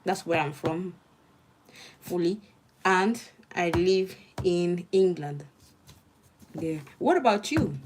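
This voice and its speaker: A woman's voice: lowish voice, animated, takes it slowly, long pauses, noisy background. A young woman from Nigeria with a Nigerian accent, a law student murmuring her notes aloud and chatting with viewers on a casual study livestream. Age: young adult.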